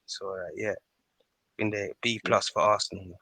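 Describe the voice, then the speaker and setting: A man's voice: low voice, level tone, measured pace, sharp tone, breathy edge, no room sound, rough recording. A man giving his opinions in casual chat as a football podcast panellist.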